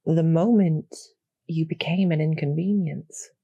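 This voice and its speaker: A woman playing a kind, calm demon general in a roleplay audio drama, speaking in a measured, formal and gently persuasive way. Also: sits low, breathy edge, no room sound, phone-quality sound, exaggerated voice. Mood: sympathetic, persuasive.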